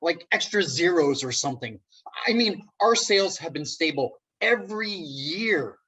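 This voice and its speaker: A man playing an awkward, wry, nervously hopeful office worker in a comedic play reading, speaking haltingly. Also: on the high side, animated, bright, forceful tone, slightly rough, full character voice.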